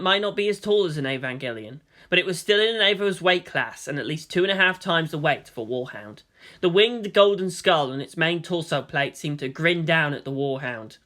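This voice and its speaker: Woman reading a sci-fi story out loud, really lively pitch swings, sharp bright tone. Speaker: narrator reading fan fiction aloud. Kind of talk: dramatic scripted story narration.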